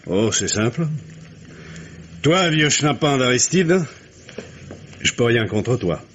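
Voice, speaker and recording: An actor playing a shrewd, gruff police commissioner in a 1950s radio drama, with a wry, commanding way of talking on an old recording with faint hiss. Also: male voice, medium pitch, big pitch swings, slow pace, fairly bright tone.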